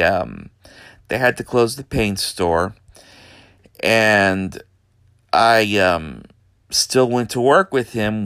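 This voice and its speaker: A man from Texas, hosting a podcast from his bedroom in an unhurried, hesitant way with lots of fillers. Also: lowish voice, a bit bright, clear, resonant tone, no echo, clean studio sound. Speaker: podcast host. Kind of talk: casual monologue.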